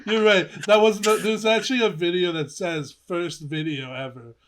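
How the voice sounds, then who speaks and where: A young man co-hosting a casual podcast, telling stories in an animated, giggly way. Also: high voice, normal speed, a little hiss or hum. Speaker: podcast co-host. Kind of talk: casual podcast chat, reminiscing.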